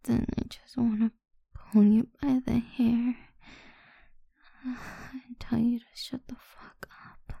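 A woman voicing a cruel, possessive and controlling mistress, talking slowly in a breathy, taunting whisper. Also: on the high side, level tone, dark tone, dry recording, clean studio sound, exaggerated voice. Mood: irritated. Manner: slow, taunting and degrading. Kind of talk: whispered audio roleplay.

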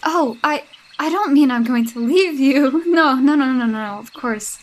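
A woman's voice: sits high, a little slow. A young woman voicing a possessive, jealous girlfriend with a sinister streak, sweet-talking and coaxing in a roleplay audio drama. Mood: creepy menacing. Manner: sweet-talking, coaxing, with unsettling laughs and hushed asides.

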